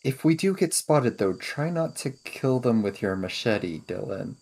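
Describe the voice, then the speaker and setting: A man's voice: mid-range voice, expressive, measured pace, creaky, a little mellow. A man improvising casually as a player in a tabletop roleplaying game.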